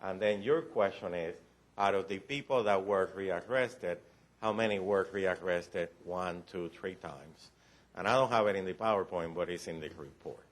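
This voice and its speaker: A man's voice: low-pitched, moderate pace. A man with a Cuban accent briefing county commissioners on recidivism data, explaining patiently with frequent restarts and light joking. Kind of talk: formal briefing. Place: county commission meeting room.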